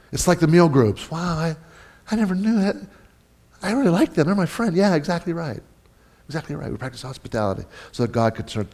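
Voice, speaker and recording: A man preaching an informal, conversational sermon as a pastor, halting and repeating himself, with self-deprecating humor and questions to his listeners. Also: mid-range voice, darkish tone.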